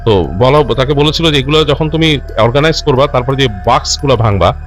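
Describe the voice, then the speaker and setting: Man telling stories with lively, swooping pitch. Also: faint music behind. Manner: halting, self-correcting, repeats and rephrases phrases as he goes; mixes English words into his speech. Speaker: host narrating listener-submitted ghost stories. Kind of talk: unscripted conversational storytelling.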